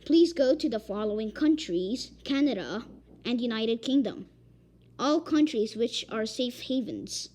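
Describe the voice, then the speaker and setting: A woman reading a made-up emergency alert, halting and stumbling over repeated words. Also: on the high side, animated, mellow. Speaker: amateur emergency alert announcer. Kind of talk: improvised emergency broadcast reading.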